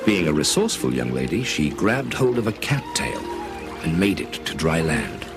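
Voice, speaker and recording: A man narrating a fairy tale as a scripted storyteller, with expressive delivery. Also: very deep voice, normal speed, music in the background.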